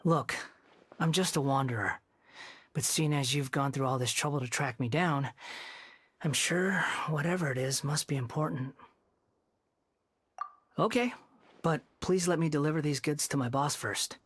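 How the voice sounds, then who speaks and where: A man voicing a polite, guileless young wanderer, soft-spoken and unassuming, in a video game. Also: on the high side, normal speed, long pauses, slightly rough. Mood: earnest, polite.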